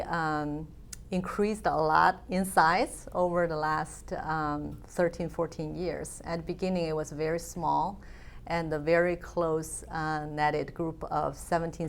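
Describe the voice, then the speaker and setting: A woman's voice: low voice, creaky, slight background noise. A woman, a guest in an interview, speaking thoughtfully with frequent fillers. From China. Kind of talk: conversational interview.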